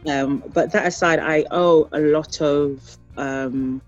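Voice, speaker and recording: An interview guest who grew up in Ghana and later Europe, speaking reflectively and haltingly, often searching for words. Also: mid-range voice, fairly even intonation, highs cut off, soft background music. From born in Liberia, raised in Ghana, later the Netherlands and UK.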